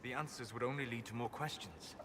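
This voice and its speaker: An actor playing a guarded, scholarly male elf mage who speaks calmly and in a measured way, in game cutscene dialogue. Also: medium pitch, noisy background, lightly acted. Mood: cold, restrained. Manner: calm, measured, soft-spoken. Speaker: video game character.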